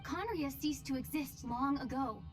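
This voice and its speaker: A woman's voice: sits high, normal speed, faint music behind, natural voice. An actress voicing a wary, earnest and defiant young female traveler in a dramatic video game, speaking pointedly.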